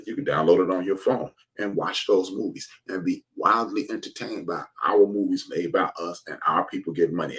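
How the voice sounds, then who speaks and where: American guy, real deep husky voice, preachy off the cuff commentary, repeats stuff to drive it home. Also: fairly bright tone, low-quality recording. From United States. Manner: emphatic, preachy, repeats phrases for stress. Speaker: host of an online commentary show. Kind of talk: informal opinion monologue to viewers.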